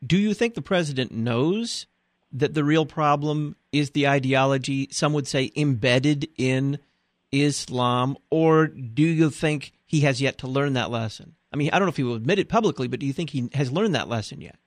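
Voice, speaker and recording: A man's voice: medium pitch, normal speed, no echo, clean studio sound. A man interviewing a guest, asking long questions with some restarts and hesitations.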